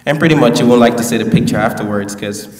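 A man's voice: mid-range voice, fast. A young man delivering a graduation speech from a podium, with playful asides to the audience. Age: young adult. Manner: playful, joking asides to the crowd. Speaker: valedictorian. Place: large school hall at a podium. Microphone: podium mic, heard from a distance. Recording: echoey hall, distant mic.

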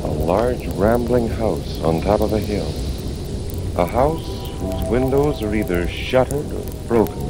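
A man narrating a 1940s radio horror show in a dramatic, ominous and deliberate manner. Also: on the low side, lively intonation. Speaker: host and narrator. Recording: vintage 1940s radio, music behind.

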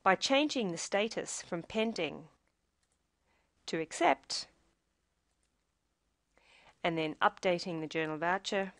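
A woman reading a scripted software tutorial, explaining each step in a measured, instructional way. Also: lowish voice, expressive, no echo, clean studio sound.

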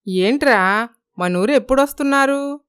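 A woman playing a wistful ageing mother-in-law in a moral story, smooth-voiced and plaintive, longing for her children. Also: high-pitched, moderate pace, a bit bright, dry recording, studio quality.

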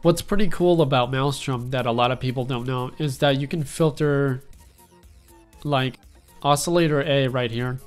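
A young man with a stuffy, congested voice explaining step by step how he builds a synth sound, in a relaxed, rambling way with lots of fillers. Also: medium pitch, moderate pace, clear, resonant tone, soft background music. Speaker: music production tutorial host. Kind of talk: casual screen-recorded software tutorial.

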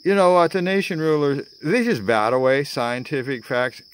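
An American man in his sixties giving a theatrical spoken-word political rant to camera, with dramatic pauses and wry asides. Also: on the high side, clean tone. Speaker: vlogger. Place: outdoors among greenery. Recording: outdoor ambience with chirping insects.

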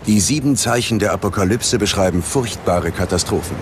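Low-voiced, ominous male documentary narrator. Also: noisy background. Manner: dramatic, ominous, measured delivery. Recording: music under the voice. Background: prominent background music.

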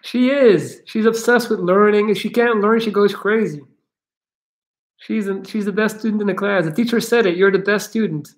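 An upbeat man in his thirties hosts a casual home livestream, chatting with viewers and reading out their comments. His voice sits high for a man. It is picked up on a laptop webcam mic in a living room. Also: normal speed. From Belgium. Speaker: livestream host, coach.

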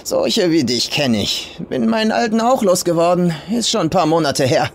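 A man's voice: high-pitched, animated, normal speed, vocal fry, voice pushed up, heavily acted. A man voicing a burly, crude, bossy old farm woman who talks in brash, clipped colloquial phrases in an audio horror story. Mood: brash, amused.

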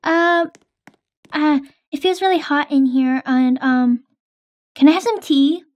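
A woman's voice: sits high, takes it slowly, darkish tone. A young woman hosting and narrating a game roleplay in a casual, hesitant way with many ums.

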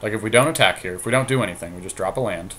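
A man's voice: on the low side, racing, sharp tone, slight background noise. A man giving casual game commentary as a co-host, thinking aloud in an analytical way. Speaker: podcast co-host playing a card game.

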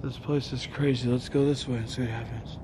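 A man filming himself exploring a closed casino at night, chatting casually with viewers in a hushed, curious way. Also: medium pitch, fairly even intonation, fairly quick. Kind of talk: casual running commentary. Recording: outdoor noise behind.

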